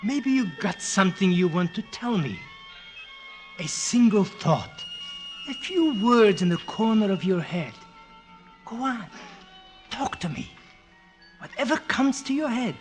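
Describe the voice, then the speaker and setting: A man's voice: high voice, big pitch swings, unhurried, pauses often. An actor playing a fussy, wry older psychoanalyst, blunt and fatherly, who grumbles and lectures in 1940s radio drama style. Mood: coaxing, lecturing. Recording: restored vintage radio broadcast, music behind.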